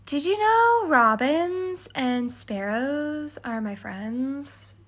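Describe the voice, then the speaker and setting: Lady doing a chatty dreamy girl in a kids fairy tale, high airy singsong, really drawn out. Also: lively intonation, very slow, a bit bright, heavily acted. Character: dreamy, chatty young Cinderella spinning animal tales. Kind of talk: children's storybook character voice.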